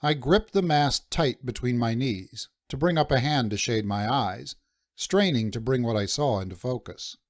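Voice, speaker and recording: Male narrator for first-person adventure fiction. Medium pitch, measured dramatic storytelling, dry room-free sound. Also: no room sound. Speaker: audiobook narrator.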